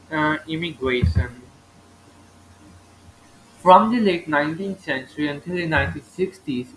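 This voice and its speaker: A man's voice: fairly high pitch, fairly bright tone, slight background noise, poor audio. A man born in Italy narrating a casual geography video over maps, rambling and leaning heavily on "you know" with frequent grammar slips.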